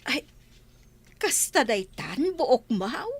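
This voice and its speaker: Woman playing wheedling village wife, high voice, very slow drawl, lively pitch, Ilocano accent, radio drama. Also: husky, no room sound, full character voice. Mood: chatty. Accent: Filipino, Ilocano. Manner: drawling, coaxing, insistent. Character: wheedling, money-asking village wife and mother.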